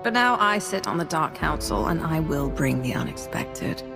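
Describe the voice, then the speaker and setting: A cold, haughty female dark lord, low-pitched with a creaky edge. She commands in clipped, confident lines that carry a threat. A game score plays behind her. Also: sing-song, normal speed, over music, exaggerated voice. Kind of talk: scripted video game acting.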